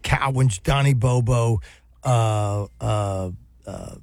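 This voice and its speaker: A man's voice: medium pitch, slow, no echo, studio quality. A man in his sixties co-hosting a casual sports talk show, telling rambling nostalgic stories with many restarts. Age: 60s. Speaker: sports radio co-host.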